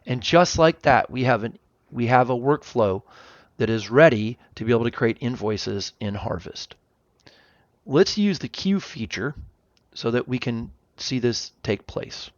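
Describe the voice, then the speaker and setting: A man's voice: mid-range voice, lively intonation, measured pace, no echo. Man presenting, dry slightly dull recording. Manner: explanatory, step-by-step. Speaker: software demo presenter. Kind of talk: informal product walkthrough narration.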